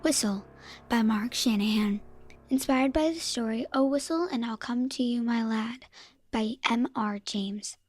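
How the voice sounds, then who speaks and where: Female credits announcer, scripted read, slightly high and breathy. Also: a little slow, dry recording, soft background music.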